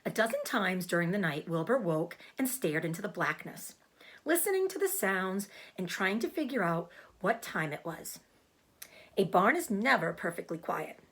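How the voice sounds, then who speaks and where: A woman reading a children's book aloud like a teacher, narrating expressively and clearly for young listeners. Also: mid-range voice, normal speed. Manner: expressive, explains hard words. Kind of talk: storybook read-aloud narration.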